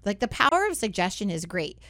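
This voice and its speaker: A woman hosting a radio talk show in a studio, her voice hoarse and raspy, chatting casually, laughing often and tagging questions with "right?". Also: mid-range voice, big pitch swings, brisk, fairly bright tone, dry recording.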